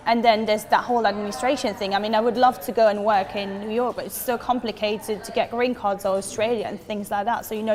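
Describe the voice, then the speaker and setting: Earnest young woman with a long reverb. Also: medium pitch. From Switzerland. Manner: earnest and enthusiastic, emphatic with "so" and "literally". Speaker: interviewee, advertising professional. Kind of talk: talking-head interview answers.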